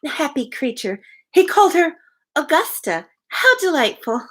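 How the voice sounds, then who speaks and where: Storybook sweetheart: young woman, played by a female reader, high and lilting, wistful, sighing, earnest. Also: big pitch swings, normal speed, a bit bright. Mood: wistful, pleased.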